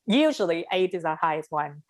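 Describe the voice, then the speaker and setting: A woman's voice: lowish voice, a little mellow. A young woman with a Vietnamese accent, speaking frankly and with animation in a casual conversation. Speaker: interviewee.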